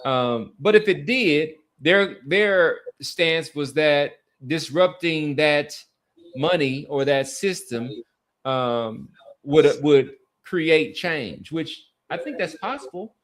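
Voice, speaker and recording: A man hosting a podcast, talking casually about sports and society in an earnest, halting way with repeated words. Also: fairly high pitch, expressive, fairly bright tone. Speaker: podcast host. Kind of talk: casual sports talk and commentary.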